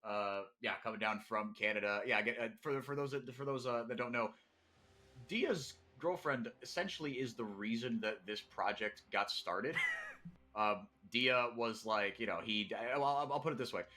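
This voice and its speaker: A Brazilian-born man casting esports play-by-play from a broadcast booth, excitable and quick to shout through big plays. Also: mid-range voice. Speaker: play-by-play caster.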